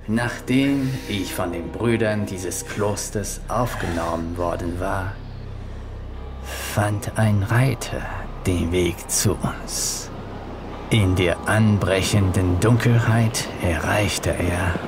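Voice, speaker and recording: Old Shaolin monk, brooding and whispery, radio play, echoing space over music. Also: male voice, low voice, gravelly, exaggerated voice. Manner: slow, dramatic, often whispery delivery. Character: old Shaolin monk, solemn brooding storyteller, mocking jailer. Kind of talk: German radio play acting.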